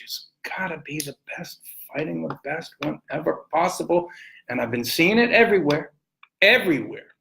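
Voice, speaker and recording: Male sports talk host, higher-pitched and gritty, emphatic opinionated riffs with deliberate pauses. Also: gravelly, a bit bright, low-quality recording. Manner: emphatic, colorful, rambling with dramatic pauses.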